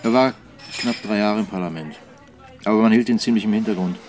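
A male actor plays a wry journalist in a German radio drama. The voice sits a little low, and the talk is conversational and musing, like a well-informed friend sharing what he has. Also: brisk, darkish tone, a little hiss or hum, lightly acted. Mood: matter-of-fact.

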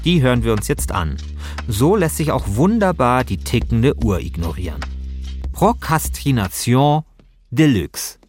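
A man's voice: medium pitch, sing-song, measured pace, a little mellow, breathy edge. Male podcast host, scripted narration, mid-range voice, wry and self-deprecating, light music bed.

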